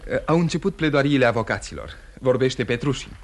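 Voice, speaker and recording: An actor playing a courteous, composed lawyer in a radio play, explaining things in a measured, polite way. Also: male voice, mid-range voice, expressive, a little hiss or hum, natural voice. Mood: composed, informative. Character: composed, courteous male lawyer commenting on a trial. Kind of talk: mid-century radio theatre acting.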